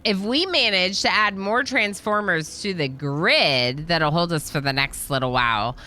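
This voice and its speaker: A woman's voice: lowish voice, unhurried, bright, forceful tone. A woman reading game dialogue aloud as a weary, brooding colony engineer who grumbles and explains. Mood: weary, grumbling.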